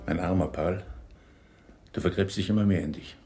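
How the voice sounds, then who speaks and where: An actor playing a pitying confidant who insists on painful truths, gravely, in a German radio crime drama. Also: male voice, deep voice, fairly even intonation, normal speed, dark tone, soft background music. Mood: pitying, insistent.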